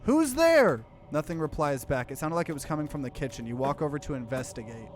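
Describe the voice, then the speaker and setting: A man's voice: mid-range voice, fast, a little hiss or hum. A male let's player reading game narration aloud and reacting casually, often cutting into his own sentences.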